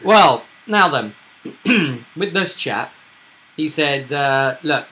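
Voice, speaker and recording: A man's voice: a little high, lively intonation, drawn-out words, sharp tone, a little hiss or hum. A man casually recapping a tabletop roleplaying session as game master, with a storyteller's phrasing and tag questions.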